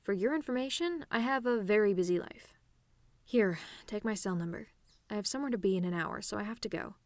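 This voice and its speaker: A woman's voice: mid-range voice, brisk, a little mellow, no room sound, close to an everyday voice. A woman playing a cocky, sarcastic tomboy demon with a casual, teasing delivery in an audio roleplay. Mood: brisk. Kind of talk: ASMR-style scripted roleplay monologue.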